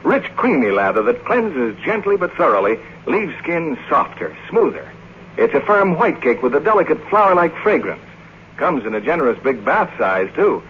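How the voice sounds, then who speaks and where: Lively American radio pitchman, tinny vintage sound. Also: moderate pace, a little hiss or hum. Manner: polished, salesmanlike. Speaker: radio announcer. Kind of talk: 1950s radio commercial announcing.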